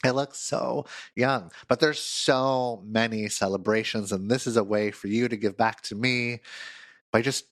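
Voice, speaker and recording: A man's voice: on the low side, steady tone, unhurried, fairly bright tone, dry recording. A man, a counselor, hosting a solo podcast while sick, with a congested, nasal voice and a playful, self-mocking chat.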